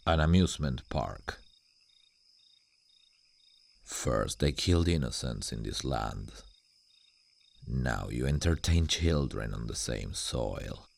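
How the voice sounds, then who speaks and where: A man voicing a suave, sardonic demon guardian in an ASMR roleplay, slow and condescending toward humans, with a very deep gravelly voice. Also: expressive, exaggerated voice. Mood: ominous, disdainful.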